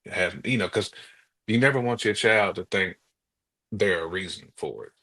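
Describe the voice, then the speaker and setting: Male podcast co-host, very low voice, casual talker who thinks out loud with repeated words. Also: normal speed. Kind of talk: casual conversational discussion.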